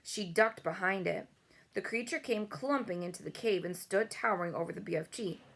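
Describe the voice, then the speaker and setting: Creaky-voiced woman reading a storybook aloud. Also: mid-range voice, normal speed, a bit bright. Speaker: read-aloud host. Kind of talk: storybook read-aloud with casual asides.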